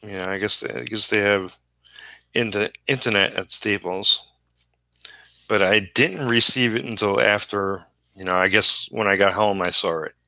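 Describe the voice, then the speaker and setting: A man with a fairly low, sharp-edged voice talks in a dry, close sound. He rambles casually as if to a livestream while working, halting often and easygoing about his own shortcomings. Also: no echo. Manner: halting, trailing off mid-thought. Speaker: comic book inker live streaming.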